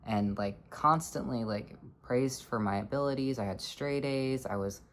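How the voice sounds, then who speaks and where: A young adult in their twenties speaks in a low voice at an ordinary, mid-range pitch. They talk earnestly and haltingly, pausing to search for words as they reflect aloud. A faint hiss sits beneath the voice. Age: 20s. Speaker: vlogger. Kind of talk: personal reflective monologue.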